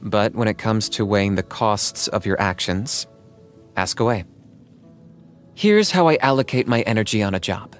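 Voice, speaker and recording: An actor playing an aloof, pragmatic young hunter in a video game, speaking in a calm, reserved, matter-of-fact and dryly sardonic way. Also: male voice, fairly low pitch, moderate pace, soft background music. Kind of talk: video game character voice acting.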